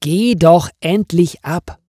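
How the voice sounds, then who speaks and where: A man's voice: on the high side, slow, warm tone, no echo, studio quality, pitched above the performer's own voice. A man voicing an impatient, easily exasperated female office worker who mutters and complains in a storybook. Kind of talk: storybook character dialogue.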